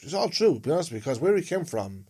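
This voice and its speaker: A man's voice: a little high, sing-song, fast, warm tone, slightly rough. An Irishman co-hosting a podcast in casual conversation, telling things in a rambling way and repeating phrases for emphasis. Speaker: podcast co-host.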